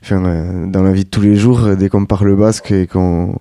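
Young man, radio host, low pitch, dark mellow voice, dry close mic, loose rambling questions. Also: little pitch movement, normal speed, dry recording. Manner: friendly, rambling questions with fillers. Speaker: radio interviewer. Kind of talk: casual radio interview.

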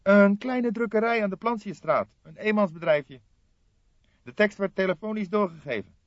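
A man voicing an eager young male detective in an audiobook, with a brisk, matter-of-fact manner. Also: high voice, dry recording, pitched above the performer's own voice, natural voice. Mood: businesslike. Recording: dull, dry lo-fi audiobook recording.

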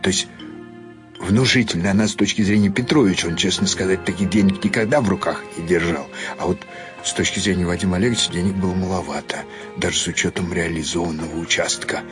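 Deep-voiced male story narrator. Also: fast, over music. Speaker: narrator reading a short story. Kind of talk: dramatised literary narration.

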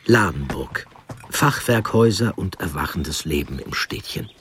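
A man reading scripted story narration in deliberate, dramatic short phrases. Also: low-pitched, expressive, fairly bright tone, a little hiss or hum.

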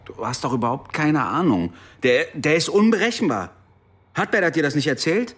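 An actor playing a jittery, frightened young man in a radio drama, stammering and repeating himself in a husky voice. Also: on the high side, sing-song, normal speed, a bit bright, heavily acted. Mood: panicky. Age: young adult.

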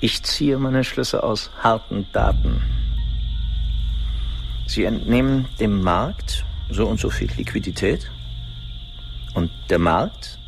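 A cynical market man, radio play, gravelly, lively intonation, faint music. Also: fairly low pitch, heavily acted, poor audio. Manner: cool, flippant, data-driven. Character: cynical male economist, cool and glib. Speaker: economist at a forum. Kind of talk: radio drama acting.

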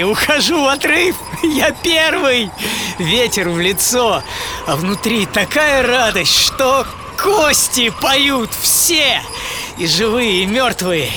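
A man's voice: extremely high pitch, noisy background. An actor playing a bedridden eleven-year-old boy who dreams of racing, in a theatrical radio monologue told in short clipped phrases. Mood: exhilarated. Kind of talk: dramatic first-person monologue in radio play.

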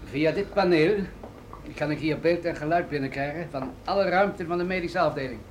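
An actor in a radio drama playing an authoritative military general, grave and deliberate as he explains things. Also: fairly high pitch, slight background noise, lightly acted. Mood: businesslike.

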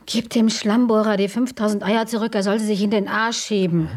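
A woman's voice: mid-range voice, fairly even intonation, fairly quick, darkish tone, natural voice. An actress playing a brash, defiant young woman with coarse, blunt speech. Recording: distant mic.

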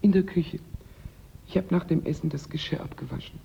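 An actress playing a meek, saddened housekeeper, polite and subdued, in a radio crime drama. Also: female voice, low voice, fairly quick, creaky, warm tone. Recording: muffled, reverberant, faintly noisy recording.

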